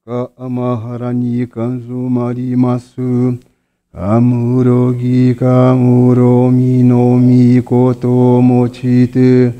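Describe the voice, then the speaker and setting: A man leading a chanted prayer in a church sanctuary, facing the altar, in a slow monotone. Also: mid-range voice. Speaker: prayer leader. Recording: dull, muffled sound.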